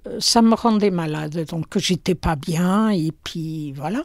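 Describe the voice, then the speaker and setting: Older woman, Portuguese accent, slow hesitant speech, dry close sound. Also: on the low side, dry recording. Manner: slow, hesitant, with many fillers. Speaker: shopkeeper. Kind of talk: interview in her shop. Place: small shop indoors.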